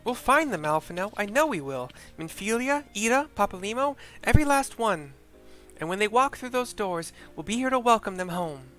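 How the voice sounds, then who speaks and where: A man voicing an earnest, warm-hearted young woman from a fantasy game, with a heartfelt lilt. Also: high voice, very animated, normal speed, pitched above the performer's own voice, full character voice. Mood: hopeful, determined. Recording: music behind the voice.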